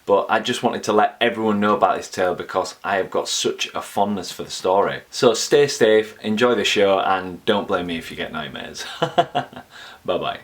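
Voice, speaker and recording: Male horror channel host, low voice, casual introduction style. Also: moderate pace.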